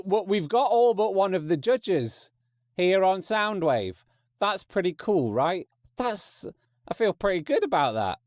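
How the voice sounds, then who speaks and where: A man's voice: sits high, no room sound, studio quality. A man hosting a podcast, giving informal announcements in a chatty, rambling, wry and self-mocking way. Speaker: podcast host.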